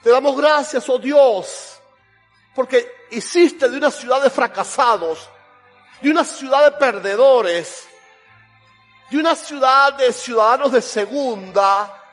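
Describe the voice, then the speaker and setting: A man's voice: very high voice, slow pace, a bit bright, soft background music. A man with a Cuban accent, a pastor making an earnest altar call and praying, pleading in rhythmic lists. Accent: Cuban Spanish. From Cuba. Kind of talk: sermon altar call and prayer.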